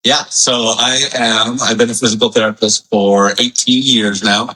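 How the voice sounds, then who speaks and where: A man's voice: on the low side, fairly even intonation, moderate pace, sharp tone, low-quality recording. A man in his forties from Hawaii, a physical therapist, talking in a friendly, easygoing way with many fillers. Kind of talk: casual interview.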